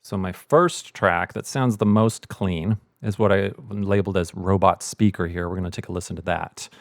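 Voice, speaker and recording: A man's voice: deep voice, fairly even intonation, normal speed, dry recording, studio quality. A middle-aged man hosting a casual audio tutorial, explaining things as a sound mixer would. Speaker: host, sound editor and mixer.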